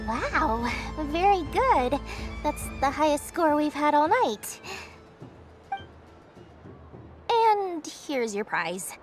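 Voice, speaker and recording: A woman's voice: sits high, very animated, takes it slowly, exaggerated voice. A woman voicing a scheming little female merchant in a video game, with a theatrical sales patter. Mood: salesy. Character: scheming, greedy little female merchant running a prize stall. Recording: music behind the voice.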